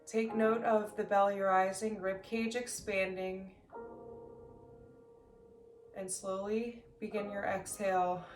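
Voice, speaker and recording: A woman leading a guided sleep meditation as an instructor, speaking gently in slow, measured phrases with long pauses. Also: mid-range voice, level tone. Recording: roomy echo, faint music behind.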